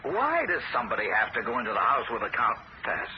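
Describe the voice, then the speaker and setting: A wry young skeptic whose voice rises and falls with lively inflection as he argues. The recording is a vintage radio broadcast, muffled and full of loud hiss. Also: mid-range voice, brisk. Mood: reluctant. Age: young adult. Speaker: narrator and lead character. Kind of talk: 1970s radio drama acting.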